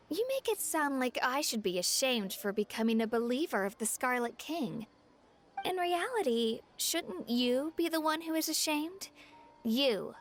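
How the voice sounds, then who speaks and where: A woman playing a haughty, scornful townswoman and zealous convert in an anime video game, indignant and accusing. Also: on the high side.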